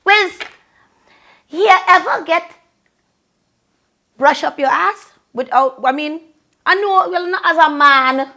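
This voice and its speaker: High-voiced profane Trinidadian woman. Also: slow, long pauses, pushed and bright. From Trinidad and Tobago. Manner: blunt, profane, Creole-inflected. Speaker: vlogger and longtime event host. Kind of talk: casual ranting commentary to camera.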